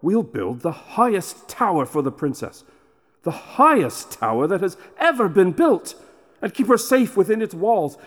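A protective, earnest king speaks solemnly in a fairytale audio play. His fairly high voice swings widely and carries a hall reverb. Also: big pitch swings, measured pace, full character voice. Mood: worried, resolute. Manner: solemn, emphatic. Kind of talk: fairytale audio drama acting.

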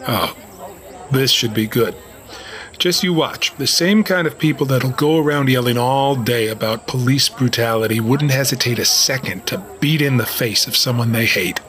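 An actor playing a cynical, stern police officer in an audio drama, dry and skeptical. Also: male voice, medium pitch, normal speed, a bit bright, close to an everyday voice. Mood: impatient, scornful. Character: cynical, stern male police officer, self-styled realist. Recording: crowd noise behind. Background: crowd.

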